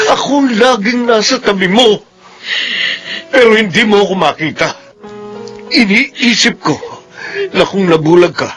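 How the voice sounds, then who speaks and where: A man's voice: high voice, a bit bright. A man acting a wounded, jealous husband in a Filipino radio drama, with impassioned delivery. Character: Clyde, wounded, jealous husband of an adulterous wife.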